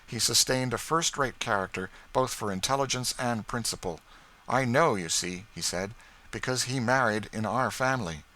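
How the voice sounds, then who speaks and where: Man reading as a frank, courteous young gentleman. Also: medium pitch, normal speed, pitched above the performer's own voice, close to an everyday voice, low-quality recording. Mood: admiring. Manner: frank, polite, informative. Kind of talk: audiobook character dialogue.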